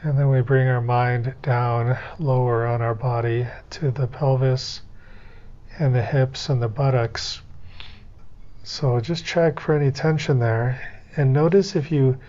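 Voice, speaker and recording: Male guided-meditation instructor, mid-pitched voice, gentle and unhurried delivery, somewhat muffled lo-fi sound. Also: fairly even intonation. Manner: gentle, unhurried step-by-step coaching with pauses.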